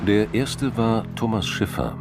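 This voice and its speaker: A man's voice: fairly low pitch, unhurried. A man narrating a radio crime drama from a script. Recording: music behind the voice.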